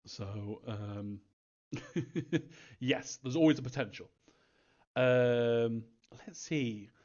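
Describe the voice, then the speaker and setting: A man answering fan questions in an informal live Q&A, thinking aloud in a halting, rambling way, as a developer. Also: mid-range voice, darkish tone, highs cut off.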